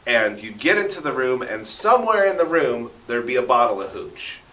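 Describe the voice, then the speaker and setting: A man's voice: mid-range voice, big pitch swings, moderate pace, pushed and bright. An American man telling stories conversationally as an interview guest, with dramatic flourishes. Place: echoey radio studio. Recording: roomy echo, distant from microphone.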